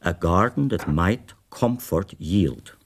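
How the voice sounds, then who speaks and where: Low-voiced, reflective male writer narrating. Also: animated, exaggerated voice. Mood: reflective, precise. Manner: measured, precise, formal. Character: reflective male writer, a foreign guest recounting his disappearance. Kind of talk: literary radio drama first-person narration.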